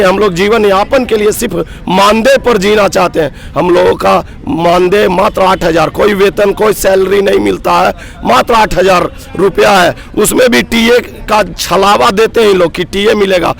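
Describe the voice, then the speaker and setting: A hoarse man in his thirties, a block coordinator at a roadside protest, speaking earnestly and with rising grievance in a street interview. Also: high voice, moderate pace, few pauses, soft background music. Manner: aggrieved, earnest, builds to emphatic outbursts. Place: roadside protest sit-in outdoors.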